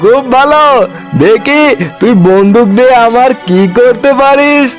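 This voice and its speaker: A man's voice: extremely high pitch, a little slow, soft background music. A man voicing a mocking, menacing tiger uncle who taunts scornfully in a comic cartoon.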